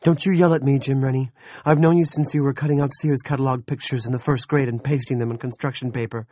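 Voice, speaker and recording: A frightened, pleading middle-aged woman with a high, trembling voice, put on by a man. Her voice rises well above the narrator's deep tone. The recording is close and dry. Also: steady tone, unhurried, mellow, husky, no room sound. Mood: indignant.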